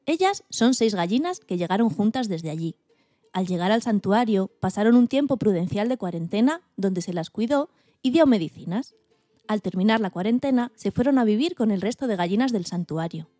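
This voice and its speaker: A woman presenter from narrates from a script. The voice is dark and rounded at a middle pitch, recorded very dry. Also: lively intonation, moderate pace, dry recording. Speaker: radio show presenter.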